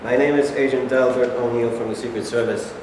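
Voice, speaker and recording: A man in a staged reading playing a brisk, formal Secret Service agent. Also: mid-range voice, steady tone, a little mellow, pitched below the performer's own voice, lightly acted. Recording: echoey hall, far off, noisy.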